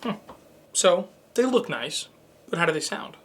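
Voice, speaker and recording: A man's voice: sits high, very animated, unhurried. A man co-hosting a review show in a scripted but conversational, presenterly way. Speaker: show co-host.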